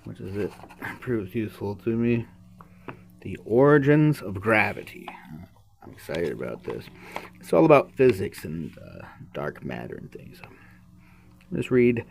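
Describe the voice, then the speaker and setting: A man's voice: on the low side, big pitch swings, a little slow, vocal fry, long pauses. A man hosting a podcast, reading a book aloud and breaking off into casual, musing commentary. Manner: musing, informal asides to the listener.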